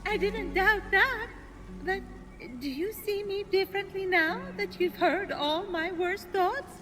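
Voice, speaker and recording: A theatrical, emotional goddess of love from a video game. Her voice is extremely high and she speaks slowly. Music plays behind her. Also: a bit bright. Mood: gentle, tentative. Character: anguished, dramatic goddess of love.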